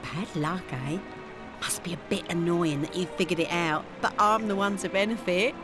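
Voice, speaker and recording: An actress playing a cocky young treasure-hunting thief in a video game, with an English accent and slangy, teasing talk. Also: female voice, fairly low pitch, moderate pace, music in the background, natural voice. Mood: smug teasing. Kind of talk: video game cutscene acting.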